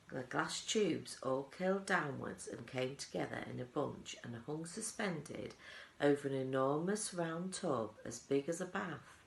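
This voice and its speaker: A woman's voice: low voice, vocal fry, a bit bright, slight background noise. A woman reading a children's story aloud as a teaching assistant, expressively leaning on the descriptive words. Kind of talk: storybook narration, read aloud. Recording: roomy, echoing indoor sound.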